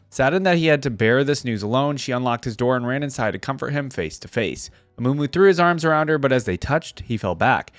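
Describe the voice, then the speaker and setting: A man's voice: mid-range voice, no room sound. A man with a mid-range voice hosts a reaction video. He speaks informally to his viewers, moving between casual commentary and reading text aloud. The sound is dry, with hardly any room to it. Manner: informal, chatty, addresses viewers directly.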